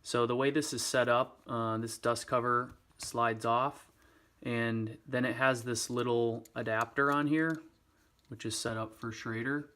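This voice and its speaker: A man's voice: mid-range voice, fairly even intonation, measured pace, sharp tone. Casual man in an echoey room. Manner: casual, hesitant, thinking aloud. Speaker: product reviewer. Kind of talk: casual product demonstration.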